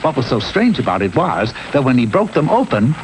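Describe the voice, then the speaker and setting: A man narrating a cartoon fairy tale in a measured, theatrical, tongue-in-cheek storybook style. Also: mid-range voice, very animated, natural voice. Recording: noisy old TV cartoon soundtrack.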